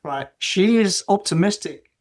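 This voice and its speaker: A man with a moderately high voice co-hosts a casual podcast. He talks in a blunt, colloquial way. He often rounds off his points with short tag questions. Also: animated, a little slow, a little mellow. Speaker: podcast co-host. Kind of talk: casual conversational podcast chat.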